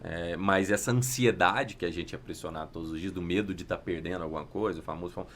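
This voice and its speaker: Fast-talking Brazilian man, noisy background. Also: low-pitched, a bit bright. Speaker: podcast guest, entrepreneur. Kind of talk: casual business conversation.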